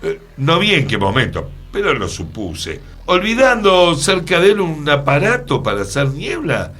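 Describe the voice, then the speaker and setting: A man's voice: fairly high pitch, wildly swooping pitch, normal speed, a bit bright, exaggerated voice. A man with a Buenos Aires accent playing a courteous, mysterious adventurer telling his tale in a whimsical radio play. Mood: explaining, earnest. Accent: Argentine, Buenos Aires. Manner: theatrical, storytelling. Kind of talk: radio theatre dialogue.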